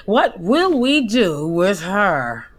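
A woman's voice: mid-range voice, very animated, extremely slow, exaggerated voice. A woman playing an anxious, diplomatic theatre producer in an audio comedy drama, polite but strained. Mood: polite, uneasy.

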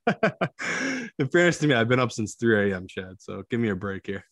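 A man's voice: mid-range voice, huge pitch swings, moderate pace, a bit bright, dry recording, clean studio sound. A man chatting casually about sports as a podcast co-host.

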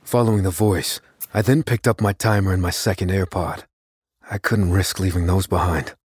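Low, husky man as earnest vigilante narrator. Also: steady tone, normal speed, a little mellow, dry recording, studio quality. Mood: tense, reflective. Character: unassuming man recounting his secret vigilante night, earnest, determined. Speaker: narrator of an audio drama. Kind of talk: dramatic first-person scripted narration. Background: music under the voice.

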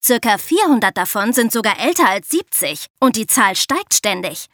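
A woman's voice: fairly high pitch, very animated, moderate pace, fairly bright tone, dry recording, studio quality. A woman co-hosting a true-crime podcast, moving between narration and discussion. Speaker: podcast co-host.

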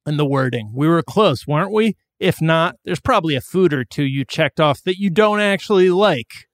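A man's voice: on the high side, expressive, no echo, clean studio sound. A man in his forties co-hosting a podcast, chatting casually with a loose, profane, joking manner. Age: 40s. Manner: loose, profane, quick to joke. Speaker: podcast co-host. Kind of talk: casual comedic chat.

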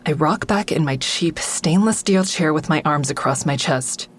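A woman's voice: low voice, normal speed, a little hiss or hum, close to an everyday voice. An actress playing a guarded, agitated, sardonic woman who narrates and speaks in an audio drama. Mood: tense, brooding. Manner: sardonic, exasperated. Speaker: narrator and lead character. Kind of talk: first-person audio drama narration and dialogue.

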